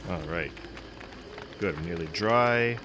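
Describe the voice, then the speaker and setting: A man's voice: low voice, slow, background noise. A man casually commenting while playing a game, a bit congested from a cold and muttering to himself as he goes. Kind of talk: casual let's-play commentary. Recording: voice with loud background music. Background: background music.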